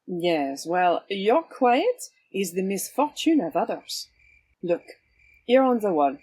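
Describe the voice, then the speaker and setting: Swashbuckling vigilante woman, voiced by an actress: cool and sly, lively swings of pitch, slightly rough voice. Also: heavily acted. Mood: wry, confident. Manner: sly, teasing, unhurried, theatrical. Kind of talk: audio drama acting.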